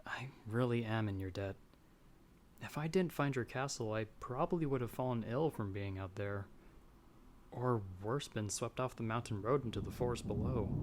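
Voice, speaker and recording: A man's voice: mid-range voice, a little hiss or hum, close to an everyday voice. A man playing a humble young farmer's son in an audio roleplay, speaking hesitantly with courteous, old-fashioned formal phrasing. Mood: grateful. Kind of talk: ASMR audio roleplay acting.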